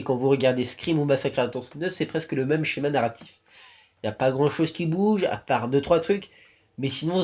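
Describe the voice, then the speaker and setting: A man's voice: on the high side, a little mellow. A young man from France talking casually to his viewers, rambling with many restarts and fillers. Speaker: YouTuber. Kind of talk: casual vlog talk.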